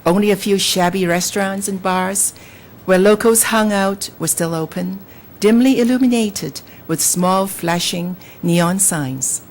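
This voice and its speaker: A woman's voice: mid-range voice, slight background noise. A woman from Hong Kong whose first language is Cantonese, a novelist reading a passage from her book and then answering interview questions with hesitant fillers. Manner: measured, lively when reading, hesitant with fillers in talk. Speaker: novelist guest.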